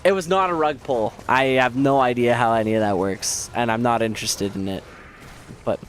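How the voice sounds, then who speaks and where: A man streaming a game who chats casually and jokes at his own expense with viewers, hoarse from losing his voice. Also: mid-range voice, normal speed, slight background noise. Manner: rambling, self-mocking, joking with viewers. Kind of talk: casual chat while playing.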